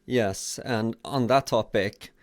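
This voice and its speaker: A man hosting a podcast interview, asking questions and speaking haltingly with frequent restarts. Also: mid-range voice, no room sound. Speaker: podcast host.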